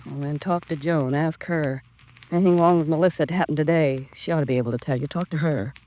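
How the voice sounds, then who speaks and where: A woman's voice: low voice, quick pace, dark tone, a little hiss or hum, lightly acted, low-quality recording. An actress playing a bitter, resentful woman in a 1950s radio crime drama, talking in terse, clipped colloquial phrases. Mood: defensive. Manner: terse, clipped working-class colloquial talk. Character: bitter, resentful woman blaming her neglectful sister.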